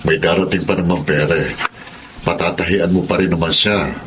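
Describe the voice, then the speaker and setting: A man playing a gentle, deep-voiced father. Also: moderate pace, background noise, lightly acted. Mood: reassuring. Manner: calm, reassuring. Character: gentle working-class father, low-paid office worker. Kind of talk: radio drama acting.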